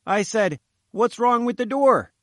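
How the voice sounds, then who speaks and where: A man's voice: very high voice, expressive, a little slow, fairly bright tone, no room sound, clean studio sound, voice pushed up, exaggerated voice. A man voicing a fat little male reporter who talks in a plaintive, sputtering, cheeky way. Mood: pleading, cheeky.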